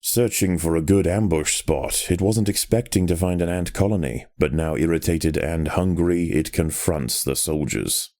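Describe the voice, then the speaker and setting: A man narrating a strategy game in the style of a nature documentary, with a measured, grave and dramatic storytelling delivery. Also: deep voice, a little mellow.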